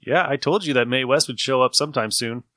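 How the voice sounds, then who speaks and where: A male podcast host in casual group chat. His voice is bright and mid-pitched. The sound is dry, with no room on it. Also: fast, no echo.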